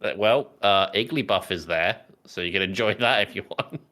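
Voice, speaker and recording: A man's voice: fairly low pitch, normal speed, bright, forceful tone. A man hosting a podcast, chatting casually and steering the conversation. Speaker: podcast host. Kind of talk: casual chat, moderating.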